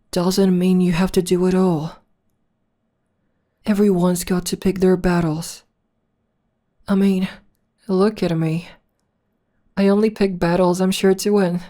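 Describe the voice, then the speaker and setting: Woman voicing a slow, mellow, teasing villainess. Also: lowish voice. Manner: soothing, drawn-out, teasing endearments. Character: female villain tenderly nursing her hero nemesis, teasing, possessive. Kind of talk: ASMR roleplay, soft intimate acting.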